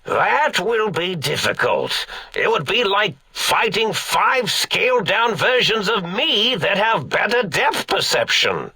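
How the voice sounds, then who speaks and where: An eccentric old mad scientist from a video game, with a raspy, gravelly and sharply bright voice. His pitch sits fairly high and swoops theatrically as he rambles in slow, pompous, absent-minded phrases. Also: sing-song, no room sound, heavily acted. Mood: lecturing, bemused. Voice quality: raspy, creaky. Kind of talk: video game character acting.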